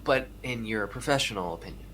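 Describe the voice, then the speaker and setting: A man's voice: medium pitch, brisk, a bit bright, a little hiss or hum, natural voice, rough recording. An actor playing a probing police detective who questions witnesses and narrates his case. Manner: probing, matter-of-fact. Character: dogged male police detective, weary and probing. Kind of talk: audio drama acting with narration.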